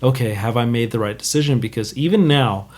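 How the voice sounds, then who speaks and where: A man in his thirties thinks aloud in a relaxed podcast chat, wandering off and correcting himself mid-sentence. His pitch sits in an ordinary middle range. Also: a little fast. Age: 30s. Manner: thoughtful, rambling, self-correcting. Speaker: guest drummer. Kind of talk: casual podcast conversation.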